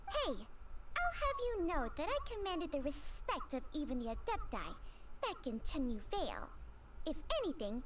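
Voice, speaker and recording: Very high, haughty talking snake. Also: female voice, huge pitch swings, moderate pace, very noisy. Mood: proud, indignant. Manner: imperious, chiding. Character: haughty, ancient talking snake, blunt and scolding. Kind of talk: scripted video game voice acting.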